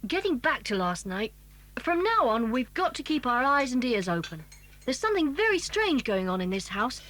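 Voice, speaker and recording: A woman's voice: high voice, sing-song, normal speed, fairly bright tone, slight background noise. A woman playing an eager, bossy schoolboy adventurer in a children's audio drama, urgent yet well-mannered. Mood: puzzled, excited.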